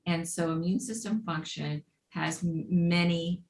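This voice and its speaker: A woman's voice: fairly low pitch, steady tone, measured pace, a little mellow. A middle-aged woman, a guest on a health podcast, explaining with enthusiasm and playful turns of phrase. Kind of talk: podcast interview. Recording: roomy echo, faint background noise.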